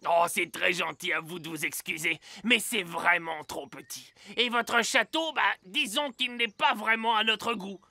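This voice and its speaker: A performer voicing a blustery, boastful male garden gnome with a gravelly voice in a children's cartoon. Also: mid-range voice, a little slow, few pauses, bright, forceful tone, exaggerated voice. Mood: blunt. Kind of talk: animated children's cartoon dialogue.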